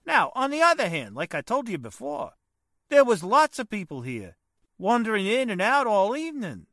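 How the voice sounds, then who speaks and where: A man's voice: sits high, huge pitch swings, normal speed, sharp tone, no echo, studio quality, full character voice. A man playing a defensive working-class private eye in 1940s radio style, folksy and ungrammatical. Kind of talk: 1940s radio drama acting.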